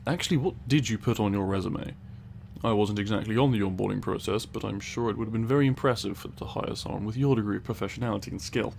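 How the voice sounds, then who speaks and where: A man acts out a pedantic tiny male scientist in a comedic audio roleplay, low and gravelly. He is dry, sarcastic and condescending, choosing long, precise words with weary patience. A steady hiss sits behind the voice. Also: fast.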